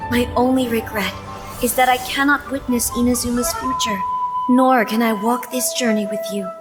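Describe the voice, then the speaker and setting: A woman voicing a gentle, serene deity in a dramatic video game, speaking in a tender, measured and formal way. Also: fairly high pitch, fairly even intonation, lightly acted. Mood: tender farewell. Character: gentle, serene female deity bidding farewell. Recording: music playing behind the voice.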